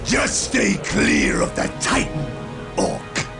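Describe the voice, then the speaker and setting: An actor playing an arrogant, power-hungry warlord in a game, threatening and theatrical, with a harsh, gravelly snarl. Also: male voice, high-pitched, wildly swooping pitch, slow, music in the background, heavily acted. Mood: furious threats. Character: menacing, power-hungry male Chaos warlord, arrogant and violent. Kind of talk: video game cutscene acting.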